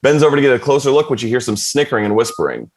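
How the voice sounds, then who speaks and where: Animated man reading screenplay directions. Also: mid-range voice, rapid-fire. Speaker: stage-direction reader. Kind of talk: animated scripted narration at a table read.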